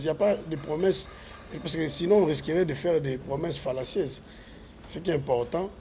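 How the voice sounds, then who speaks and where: A man's voice: on the high side, a little mellow, slight background noise. A man, a parliamentary candidate answering interview questions with earnest, repetitive appeals to voters. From Congo.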